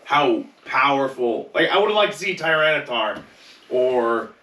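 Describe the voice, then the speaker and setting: Bright, forceful man in echoey room. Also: mid-range voice, animated, unhurried. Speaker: podcast co-host. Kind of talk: casual chat. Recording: very roomy, slightly distant mic.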